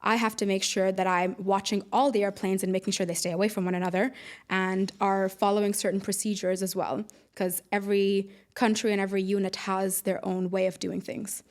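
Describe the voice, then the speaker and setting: Podcast guest: woman from the UAE, mid-pitched, informal conversational explainer and storyteller. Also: flat delivery, fairly quick. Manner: informal, explains with everyday analogies. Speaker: podcast guest, air traffic controller.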